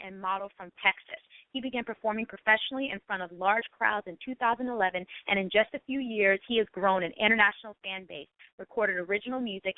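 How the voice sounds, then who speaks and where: A woman's voice: mid-range voice, no room sound. A woman hosting and interviewing on an online radio talk show. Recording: thin phone-like line, dry.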